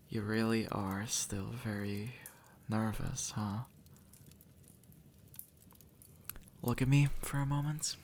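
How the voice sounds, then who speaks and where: Man playing a low, gravelly, easygoing demon. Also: slight background noise. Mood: matter-of-fact, wistful. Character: easygoing two-century-old male demon, formerly human, reassuring and teasing. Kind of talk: intimate ASMR audio roleplay, addressed to the listener.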